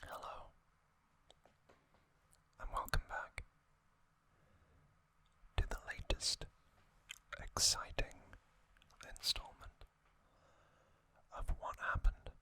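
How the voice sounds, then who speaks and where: Slow, bright ASMR whisperer. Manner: soft unvoiced whisper throughout; gentle, measured storytelling. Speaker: ASMR channel host.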